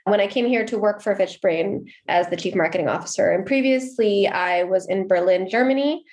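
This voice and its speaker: A woman's voice: mid-range voice, normal speed, darkish tone. American woman explaining with easy confidence. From Seattle, USA. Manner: friendly, explanatory, occasional restarts. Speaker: guest, marketing executive. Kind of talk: casual interview answers.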